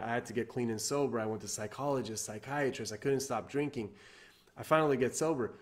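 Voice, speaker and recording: A man in his thirties, from Iran, giving an earnest motivational talk to camera from his living room, repeating himself and restarting often. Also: mid-range voice. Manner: earnest, repetitive, many fillers and restarts. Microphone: webcam or laptop camera at desk.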